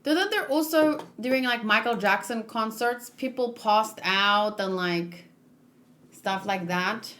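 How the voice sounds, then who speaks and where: A woman's voice: fairly high pitch, takes it slowly, fairly bright tone. A woman streaming a casual manga read-along, musing aloud and chatting with viewers as she goes.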